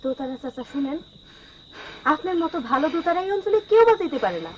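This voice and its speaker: A woman's voice: high-pitched, big pitch swings, a little fast, a little hiss or hum. An actress playing a patient, firm village woman in a comic drama, with a rural Bangladeshi accent. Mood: soothing. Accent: rural Bangladeshi Bengali. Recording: dull soundtrack with faint music.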